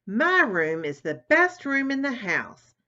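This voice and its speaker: A woman voicing a bossy, bragging young girl turtle in a storybook, boastful and shrill when angry. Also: sing-song, takes it slowly, pushed and bright, muffled recording, exaggerated voice. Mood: sweet bragging.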